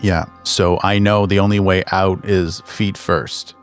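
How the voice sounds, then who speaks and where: A man's voice: sits low, little pitch movement, measured pace, soft background music. A man playing a tabletop game, speaking in character and asking about the scene. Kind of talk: in-character roleplay and table talk.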